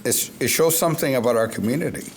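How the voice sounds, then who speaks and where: A man's voice: medium pitch, fast. A man from Puerto Rico, a native Spanish speaker, giving an upbeat, proud speech to a business audience and laughing between phrases. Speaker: event sponsor speaker. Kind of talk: speech at a public event.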